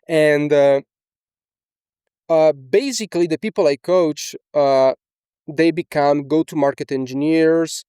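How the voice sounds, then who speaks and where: A man's voice: on the high side, slow pace, mellow, clean tone, no echo, studio quality. A young man from Italy with an Italian accent, talking casually as a coach in a screen-share tutorial, often tagging on "okay?" and fillers. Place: small indoor room.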